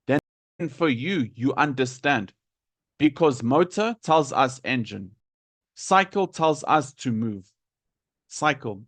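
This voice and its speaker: A South African man teaching English in a live lesson, deliberately repeating and enunciating words for learners. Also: medium pitch, a bit bright, no echo. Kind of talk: live lesson explaining pronunciation to students.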